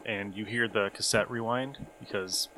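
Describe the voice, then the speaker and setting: A man's voice: lowish voice, steady tone, moderate pace, pushed and bright, slight background noise. A man narrating a tabletop roleplaying game casually as game master.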